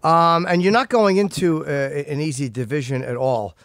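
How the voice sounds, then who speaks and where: A man's voice: a little high, moderate pace, a bit bright, no room sound. A man hosting a casual interview, asking long rambling questions with self-deprecating asides. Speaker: podcast host.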